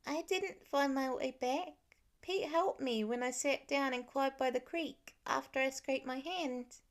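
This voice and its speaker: Small boy, five, earnest and insistent, high voice, played by a woman narrator, audiobook. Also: measured pace. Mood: earnest, pouting. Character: stubborn, earnest five-year-old boy, tired and pouty. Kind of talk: audiobook character voice in fan fiction.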